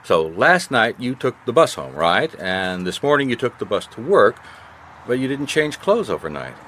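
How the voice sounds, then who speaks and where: An actor playing a shrewd, dogged private detective in a radio mystery, questioning people in a wry, seemingly casual way. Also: male voice, medium pitch, big pitch swings, moderate pace, a bit bright. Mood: probing. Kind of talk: radio mystery drama acting. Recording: noisy radio drama recording.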